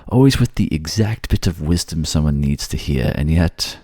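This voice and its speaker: A man's voice: rumbling low, expressive, moderate pace, warm tone, dry recording, studio quality, heavily acted. A man playing a suave, manipulative fantasy ruler who coaxes his captive in an intimate ASMR audio drama. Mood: tender teasing. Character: suave manipulative male water-folk ruler, soothing captor.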